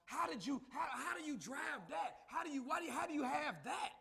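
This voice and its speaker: A man preaching a sermon to a congregation, calling out to them and building to shouts. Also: very high voice, animated, a little fast, vocal fry. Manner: call-and-response preaching, rising to shouts. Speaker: minister.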